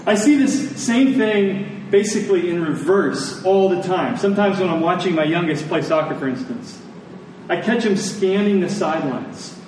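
A very reverberant room carries this American man's voice, picked up at some distance with faint noise behind it. He is a pastor giving a sermon in a conversational manner, breaking his thoughts into short, deliberate phrases. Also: sits high, animated, darkish tone. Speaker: pastor preaching. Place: large church meeting room with congregation. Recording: echoey hall, distant mic, faint noise.

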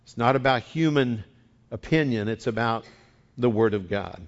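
Male pastor from West Virginia, folksy and conversational, middle pitch, slightly dull lo-fi sound. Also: moderate pace. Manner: folksy and chatty, repeats words when starting sentences. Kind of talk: sermon with a folksy story.

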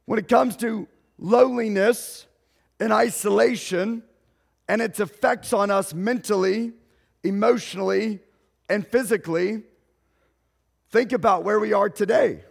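A male pastor, telling a story in a sermon slowly and deliberately, raising his voice at dramatic moments. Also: high voice, gravelly. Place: church sanctuary. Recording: long hall reverb.

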